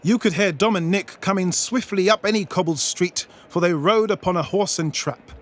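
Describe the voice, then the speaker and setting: A British man giving theatrical, tongue-in-cheek storybook narration in a pompous, deliberate manner. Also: high-pitched.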